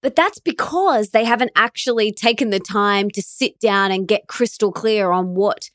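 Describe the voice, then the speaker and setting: A woman's voice: medium pitch, normal speed, dry recording, studio quality. A woman hosting a podcast with a gushing, enthusiastic manner. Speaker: podcast host.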